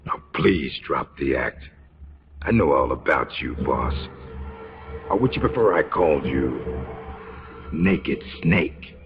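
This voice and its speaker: Very deep, gravelly military interrogator. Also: male voice, expressive, exaggerated voice. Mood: smug, mock-polite. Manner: slow, deliberate, mock-courteous. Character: menacing male military interrogator, smugly polite and sadistic. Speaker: voice actor in a game cutscene. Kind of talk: dramatic video game acting. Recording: dull lo-fi game audio, loud music.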